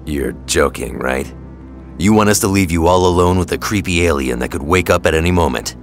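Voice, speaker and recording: An actor playing a gruff, sarcastic male mutant brawler with a gravelly, blunt delivery. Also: low voice, fairly quick, faint music behind.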